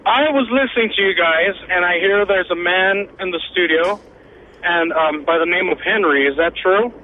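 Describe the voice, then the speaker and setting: A man's voice: high voice, moderate pace, narrow, tinny audio, slight background noise, close to an everyday voice. A man making a prank call to a radio show, stammering and acting like a nervous first-time caller.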